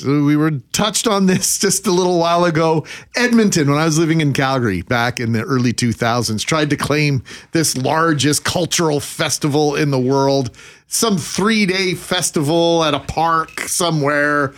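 A man's voice: on the high side, normal speed, a bit bright. Jokey Canadian radio host man. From Manitoba, Canada. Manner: chatty and jokey, with laughs and repeated words. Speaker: radio morning show host. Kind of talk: casual radio chat.

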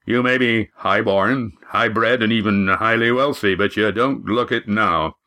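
A man voicing a short, burly innkeeper in a storybook, with a deep, growling bass and a folksy, wry, quietly menacing manner. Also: measured pace. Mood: wry, blunt. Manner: folksy, wry, plain-spoken warnings.

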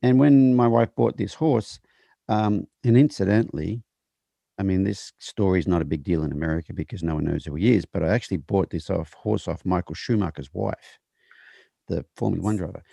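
A middle-aged man, a horse trainer, talking in a casual interview with halting restarts. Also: deep voice, gravelly, dark tone, no echo.

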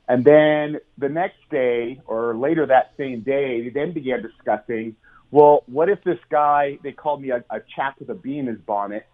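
An American man, a journalist guest, explaining at length in an earnest way, with many ums and restarts, speaking over the phone on talk radio. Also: mid-range voice. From United States. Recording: tinny phone line.

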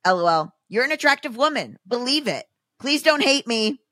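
A woman's voice: fairly high pitch, very animated, moderate pace, pushed and bright, no room sound, clean studio sound, voice pushed up. A woman reading aloud as a self-important man texting blunt dating feedback, in an earnest, condescending dramatic reading for a comedy podcast.

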